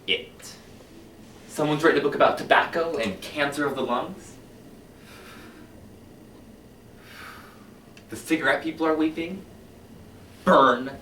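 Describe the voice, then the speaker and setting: A man performing a cynical middle-aged fire chief in a competition monologue, lecturing his firemen with sarcasm and swinging between loud and hushed delivery. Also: fairly high pitch, pitched above the performer's own voice. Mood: zealous. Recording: echoey hall heard from far off, faint hiss.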